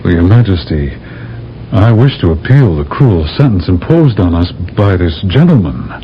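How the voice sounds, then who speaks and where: A man's voice: deep voice, moderate pace, a little hiss or hum. An actor playing an earnest astronaut captain who narrates and pleads his case, in a 1970s radio drama. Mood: pleading. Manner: earnest, persuasive, lively delivery. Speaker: narrator and lead. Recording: dull old radio broadcast.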